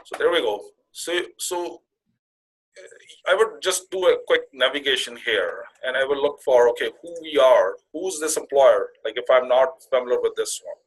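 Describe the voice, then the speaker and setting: Halting man giving an informal walkthrough. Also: medium pitch, expressive, breathy edge, low-quality recording. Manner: halting, often repeats and restarts phrases. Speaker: career coach presenting to conference call members.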